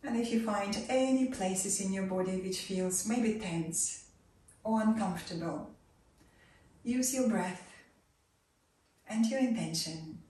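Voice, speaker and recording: A woman leading a guided relaxation and meditation, giving soft, calm instructions that sometimes drop to a near whisper. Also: mid-range voice, takes it slowly, pauses often. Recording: roomy echo, voice far from mic.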